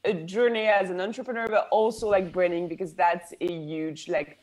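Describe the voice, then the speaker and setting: A woman's voice: fairly low pitch, fairly even intonation, moderate pace, darkish tone, rough recording. A young woman from France with a French accent, a branding coach talking as a guest in a podcast interview, upbeat and full of "like" fillers. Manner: chatty, many "like" fillers, upbeat.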